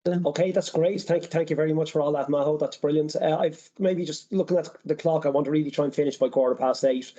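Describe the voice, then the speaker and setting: Male moderator, hesitant and measured, dark voice over a dull video call line. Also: on the high side, fairly even intonation. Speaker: webinar moderator. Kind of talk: moderating an online panel. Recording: muffled video call audio.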